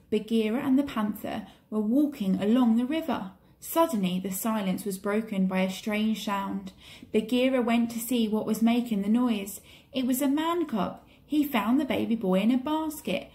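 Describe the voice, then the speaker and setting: A woman's voice: a little high, dark tone. A woman reading a children's story expressively, like a teacher to pupils.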